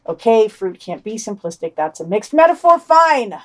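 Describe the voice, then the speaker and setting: A woman's voice: medium pitch, sing-song, normal speed. Wry woman reading a podcast news digest. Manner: wry, opinionated, emphatic. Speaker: podcast host.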